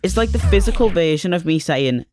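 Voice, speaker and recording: A man in his twenties from Liverpool with a Liverpool accent, narrating a short animated film in a chatty, wry, self-mocking way. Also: on the high side, brisk, over music. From Liverpool, England. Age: 20s.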